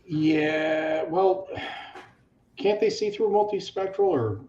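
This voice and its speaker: A man's voice: on the high side, slow, dark tone. A man chatting casually as he hosts and plays a tabletop game. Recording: roomy, dull audio with clear noise.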